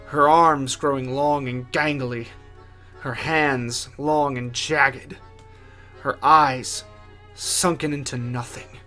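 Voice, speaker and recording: Slow, theatrical man narrating horror. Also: medium pitch, a bit bright. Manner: theatrical, deliberate pauses. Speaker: writer and narrator. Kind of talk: dramatic first-person horror story narration. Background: music.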